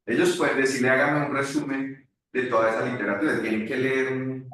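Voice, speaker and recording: A man teaching at a university, talking in a halting way with many restarts during an online class discussion. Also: medium pitch, level tone, a bit bright, slight background noise. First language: Spanish. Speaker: university lecturer. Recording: echoey room far from mic.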